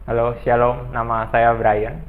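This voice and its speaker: A young man in his twenties talks about editing church videos in a flat, matter-of-fact tone. His voice comes through thin and phone-like, with a faint hum. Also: medium pitch, measured pace. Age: 20s. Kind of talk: testimony interview answers. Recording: tinny phone-like sound.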